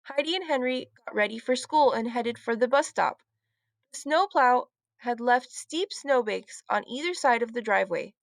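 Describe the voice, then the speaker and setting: A woman's voice: fairly high pitch, animated, normal speed, creaky, no echo, clean studio sound. A woman narrating a children's storybook as a read-aloud storyteller.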